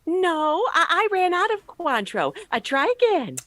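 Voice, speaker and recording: A woman's voice: very high-pitched, sing-song, measured pace, bright, forceful tone, dry recording, heavily acted. A woman playing a fussy, playful landlady in a 1940s-style radio comedy, speaking in a fluttery, singsong way. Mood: playful, gleeful. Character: fussy, chirpy, playful landlady serving breakfast.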